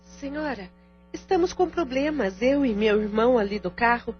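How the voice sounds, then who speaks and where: A woman's voice: fairly high pitch, expressive, moderate pace, natural voice. A woman playing a practical, supportive adult sister in an audio drama, polite, formal and direct. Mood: composed, polite. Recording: dull lo-fi audio with music underneath.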